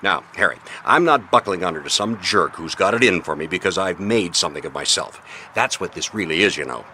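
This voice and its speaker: A man acting a smooth, self-important restaurateur in a radio drama, with an expansive salesman's manner. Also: low voice, lively intonation, fairly quick, sharp tone, slight background noise. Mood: indignant, confiding.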